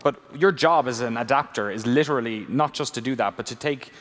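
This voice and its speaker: A man moderating a live panel, enthusiastic and quick with his remarks. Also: medium pitch, fairly bright tone. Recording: echoey convention hall mic.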